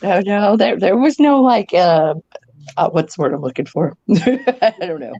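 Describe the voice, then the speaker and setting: A woman's voice: mid-range voice, expressive, a little slow, mellow, dry recording, muffled recording. A woman in her forties laughing and a bit flustered on a group video call. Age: 40s. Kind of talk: casual chat.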